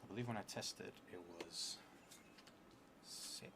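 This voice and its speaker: A man in his thirties with a fairly low voice hosts a solo role-playing stream. He is unhurried and thinks aloud, dropping into murmured asides. The recording has clear background noise. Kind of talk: narrating a solo card game on a live stream. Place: indoor room at a theatre, at a table. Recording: noisy room with steady background noise.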